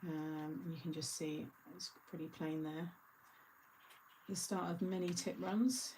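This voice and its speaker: A woman's voice: low voice, fairly even intonation, measured pace, darkish tone, a little hiss or hum, low-quality recording. A middle-aged woman hosting a craft channel, chatting casually and rambling through asides with frequent laughter. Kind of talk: casual live chat.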